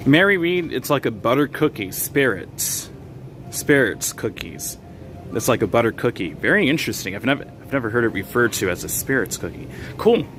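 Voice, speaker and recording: A man talks casually to his livestream viewers as he walks the aisles of a grocery store. His voice sits at an ordinary middle pitch, relaxed and chatty, with plenty of fillers. Clear store background noise runs under every line. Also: normal speed. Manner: chatty, reads chat names aloud, frequent fillers and small laughs. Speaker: livestream host. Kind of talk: casual live-stream chat while shopping.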